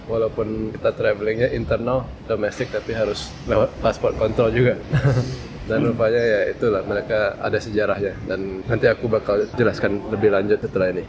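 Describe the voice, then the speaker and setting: A man's voice: fairly low pitch, little pitch movement, brisk, background noise. A man talking casually to the camera as a travel vlogger, explaining as he goes.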